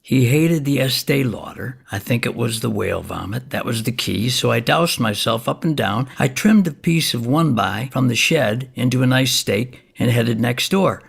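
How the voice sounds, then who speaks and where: Excitable amateur vampire hunter in comic audio drama: gravelly, swooping delivery, folksy and conversational. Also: male voice, mid-range voice, moderate pace, hardly any pauses, heavily acted. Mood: wry, suspenseful. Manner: animated, conversational, acts out dialogue. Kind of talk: comic first-person storytelling monologue.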